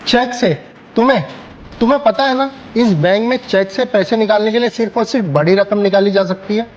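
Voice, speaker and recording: A smug, officious male bank clerk in an audio drama, played by a man. His voice sits high, and he speaks with scornful emphasis, a petty bully who bows to those above him. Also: a little fast, dull audio, slight background noise, natural voice. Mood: condescending.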